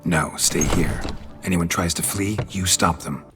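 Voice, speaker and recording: An actor in a video game playing a gruff, stoic monster hunter who mutters tersely in clipped, deadpan fragments. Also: male voice, deep voice, a little slow. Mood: terse command. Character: gruff, laconic male monster hunter for hire, stoic and watchful. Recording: music behind.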